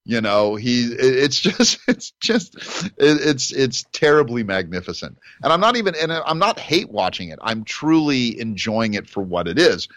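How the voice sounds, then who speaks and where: A man co-hosts a casual podcast, rambling through his points with many restarts and breaking into playful impressions. His voice sits at a middle pitch. The recording is dry and close, with no room sound. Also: very animated, normal speed, a bit bright, dry recording. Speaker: podcast co-host. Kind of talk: casual chat.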